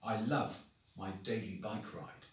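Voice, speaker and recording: A man, a headmaster, reading short stories aloud to children in an expressive, deliberate, dramatic storybook manner. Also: fairly low pitch. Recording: very echoey room, distant microphone.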